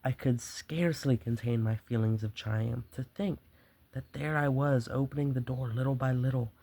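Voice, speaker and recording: Gravelly gloating madman, voiced by a man. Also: medium pitch, fairly even intonation, dark tone. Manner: theatrical, drawn-out, repeats words for emphasis. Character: gloating, obsessive madman confessing his murderous stalking.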